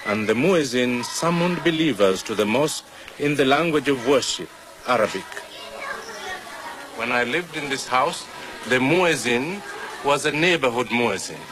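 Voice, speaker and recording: An older man from Kenya with a Kenyan accent, a native Swahili speaker, giving measured, reflective documentary narration as presenter. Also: medium pitch. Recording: steady background noise.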